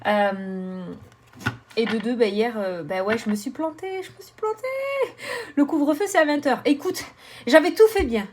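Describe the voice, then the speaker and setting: A chatty woman talks straight to her camera, bubbly and self-mocking, cursing now and then. Her voice sits a little higher than most women's. She runs a card-reading vlog. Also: a little slow. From southern France. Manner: animated, swearing, laughing, self-mocking. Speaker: tarot card reader.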